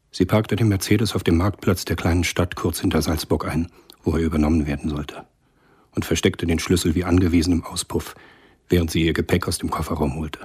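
A man narrating a radio drama from a script in a measured, sombre, husky voice. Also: sits low, a little mellow.

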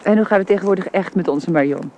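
A woman's voice: on the low side, fast talker, dark tone, natural voice. A woman playing an inquisitive, familiar friend in a radio drama, with a dull and faintly hissy recording. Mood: curious, probing. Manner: probing, familiar.

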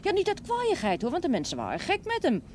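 A woman's voice: very high-pitched, huge pitch swings, fast, darkish tone, exaggerated voice. An actress playing a chatty, forthright countrywoman who gossips bluntly and with plenty of exclamation. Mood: amused storytelling. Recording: noisy old TV drama soundtrack.

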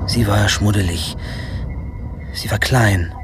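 A wry teenage boy telling his own story for a radio play. His voice sits fairly low and his delivery is dry and observant. Ambient noise is clearly heard behind it. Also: takes it slowly. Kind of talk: scripted first-person radio drama narration.

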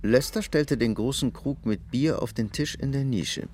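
A man's voice: medium pitch, moderate pace, darkish tone, a little hiss or hum. A man reading scripted narration for a radio drama. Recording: music under the voice. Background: music.

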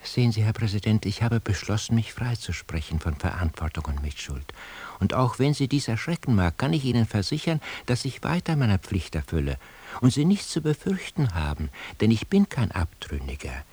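A brooding surveillance man in a radio drama, thinking aloud with obsessive intensity. His low voice is very husky and gravelly, and it moves widely in pitch with bitter, servile theatricality. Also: very animated, normal speed, a little mellow. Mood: agitated, mock-servile. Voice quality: husky, gravelly, breathy half-whisper. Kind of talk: German radio play inner monologue.

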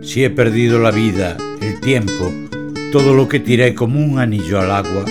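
A man reciting a defiant poem in a solemn, measured way in a radio drama. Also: on the low side, loud music behind the voice, lightly acted.

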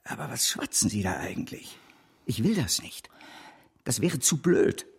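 A grandiose financier in a radio play. His husky voice moves in wide sweeps of pitch. He is impatient and bombastic, always pushing to persuade. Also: very animated, measured pace, in a whisper, full character voice. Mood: fervent, impatient. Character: grandiose, scheming bank director intoxicated by speculation. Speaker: bank director. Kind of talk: German radio drama acting.

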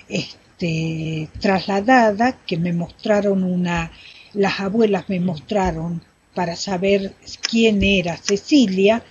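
Slow-talking woman, dull recording. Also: mid-range voice, a little mellow, slight background noise. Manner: halting, searching for words. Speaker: witness giving testimony. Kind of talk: formal spoken testimony.

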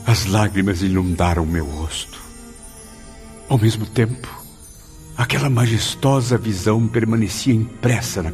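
A deep-voiced male spirit in a radio drama, solemn and grateful. His delivery is florid and declamatory, as if reciting weighty memories. Music plays underneath. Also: a little mellow.

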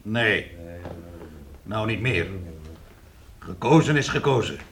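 A man's voice: fairly low pitch, expressive, slow, gravelly, a bit bright, heavily acted. A man playing a proud, hot-headed but fair guild master in a Dutch radio play, blustering and forthright. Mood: resigned.

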